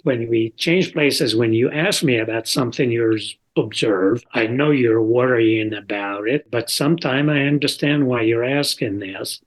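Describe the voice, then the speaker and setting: An older man, a father talking casually and reflectively in an interview, halting, with non-native English phrasing. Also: medium pitch.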